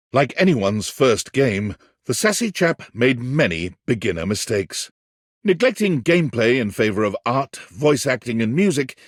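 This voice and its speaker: A man's voice: mid-range voice, breathy edge, no echo, studio quality. An actor playing a wry, dramatic storyteller, a man narrating a cheeky dating game in an arch, florid, theatrical way. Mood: mock-solemn. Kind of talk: scripted narration.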